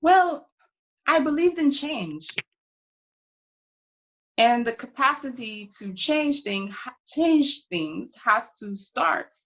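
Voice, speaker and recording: A woman playing a proud, determined pioneering congresswoman from Brooklyn, answering questions in character with deliberate, reflective phrasing broken by pauses. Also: high voice, lively intonation, fairly bright tone, muffled recording, heavily acted. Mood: resolute, earnest. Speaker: historical reenactor taking audience questions.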